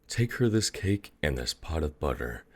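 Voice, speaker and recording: A man's voice: extremely low pitch, very animated, normal speed, heavily acted. A man voicing an innocent, trusting little girl in a fairy tale, with a guileless, polite manner. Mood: innocent, chatty.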